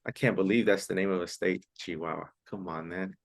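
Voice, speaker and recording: A man's voice: low-pitched, fairly even intonation, fairly quick, creaky. A man teaching an online English class, patiently repeating key phrases and sometimes explaining in Spanish. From Mexico.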